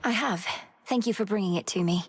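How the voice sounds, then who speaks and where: A woman playing an earnest, determined young underworld princess in a video game, speaking formally and with measured resolve. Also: medium pitch, breathy edge. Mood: courteous. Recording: long reverberant hall effect.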